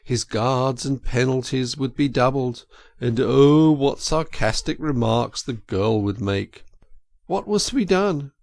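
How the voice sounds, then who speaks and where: A man narrating an audiobook in an expressive, theatrical and deliberate storytelling style. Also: mid-range voice, gravelly.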